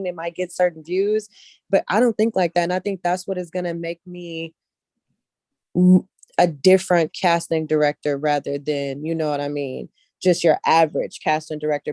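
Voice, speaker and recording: A woman's voice: fairly low pitch, dark tone, no room sound, clean studio sound. A woman speaking casually as an interview guest, repeating points for emphasis and often checking that the listener follows. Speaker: interview guest, casting director and actress.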